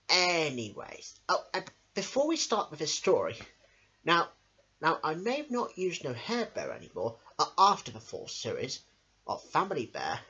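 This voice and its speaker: A young adult reading children's picture books aloud in an emphatic, deliberate way. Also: mid-range voice, fairly bright tone. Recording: dull lo-fi camera sound.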